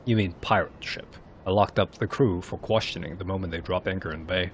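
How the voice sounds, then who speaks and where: A man reading game cutscene dialogue aloud in a dramatised, somewhat halting way. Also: deep voice, slight background noise.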